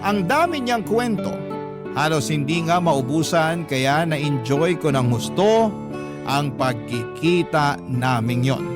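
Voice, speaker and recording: Male narrator, slightly high pitch, dramatic first-person letter reading, loud guitar underscore. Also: animated, slow pace. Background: prominent guitar music.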